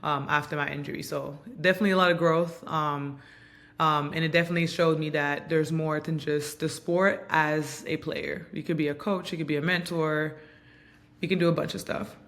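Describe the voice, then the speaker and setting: A woman in her thirties from Montreal, whose first language is Haitian Creole, giving reflective, earnest interview answers with frequent pauses and ums. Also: deep voice, steady tone, fairly bright tone. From Montreal, Canada. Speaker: guest, basketball player and coach.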